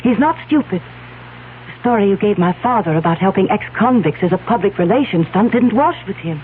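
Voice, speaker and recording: A woman's voice: medium pitch, lively intonation, normal speed, lightly acted. A woman playing a cool, determined, scheming fiancée with insistent, articulate delivery in a radio drama. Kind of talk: 1970s radio drama acting. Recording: tinny, noisy old radio broadcast.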